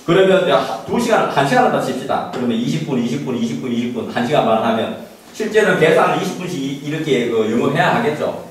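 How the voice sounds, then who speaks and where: A man's voice: mid-range voice, animated, fast. A middle-aged man giving an informal, emphatic lecture to parents, often asking rhetorical questions. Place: small classroom. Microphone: handheld mic, recorded by a camera at a distance. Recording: echoey room, distant mic, background noise.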